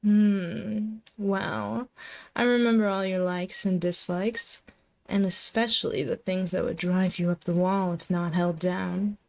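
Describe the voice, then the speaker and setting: A woman's voice: on the low side, steady tone, measured pace, vocal fry, darkish tone. A woman playing a possessive, dominant and seductive female demon in an intimate, close-miked ASMR roleplay, commanding, teasing and doting. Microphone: close binaural microphone.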